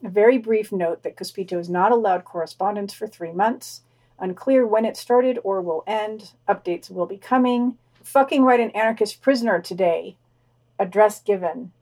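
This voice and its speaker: A woman's voice: mid-range voice, normal speed, darkish tone. A woman co-hosting a podcast, reading short scripted news items with brief casual comments.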